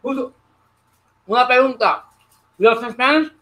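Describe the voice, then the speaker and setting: Middle-aged man, high voice, playful quizzing, casual chat. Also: moderate pace, sharp tone. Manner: playful quizzing, mixes in Spanish phrases. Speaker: guest, host's father.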